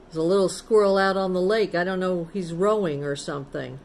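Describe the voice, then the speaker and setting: A woman's voice: lowish voice, a little fast, vocal fry, darkish tone, slight background noise. A woman storyteller reading a picture book aloud to children, adding simple explanatory asides in a casual, child-directed way.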